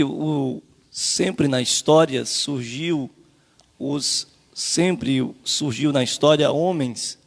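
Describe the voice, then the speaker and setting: A man's voice: fairly high pitch, gravelly. A man with a Brazilian accent preaching a Bible lesson, emphatic and repetitive, with rhetorical questions, in a reverberant hall. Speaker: church preacher leading the class.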